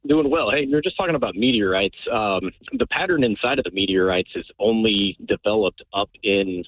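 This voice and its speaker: A man's voice: fairly low pitch, lively intonation, normal speed, dry recording. A man calling in to a radio show, earnestly explaining something at length over a phone line. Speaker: caller. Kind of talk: call-in radio chat.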